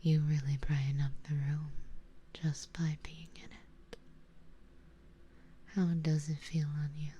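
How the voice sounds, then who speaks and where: Woman playing a slow, whispering, genteel vampire lady. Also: low-pitched, flat delivery, dull audio, full character voice. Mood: tender, admiring. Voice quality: soft, breathy, mostly whispered. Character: elegant, doting, possessive female vampire noble. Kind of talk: ASMR roleplay.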